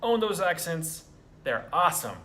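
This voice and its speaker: A man's voice: a little high, big pitch swings, a little slow, bright, forceful tone. A young man from the US talking earnestly to camera in a casual vlog, encouraging viewers with frequent ums and restarts. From United States. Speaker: vlogger.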